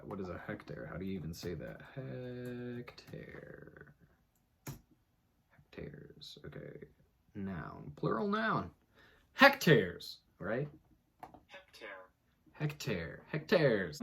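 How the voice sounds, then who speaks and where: A man giving a mock-dramatic comic reading of an article, breaking off for rambling asides, jumping between loud and hushed. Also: medium pitch, gravelly, slightly rough. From United States. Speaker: host.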